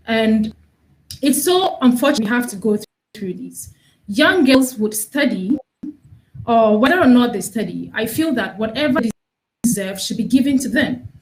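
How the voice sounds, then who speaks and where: A woman campaign lead answers questions in a studio interview. She speaks earnestly, stopping and restarting now and then. Her voice sits a little high. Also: poor audio.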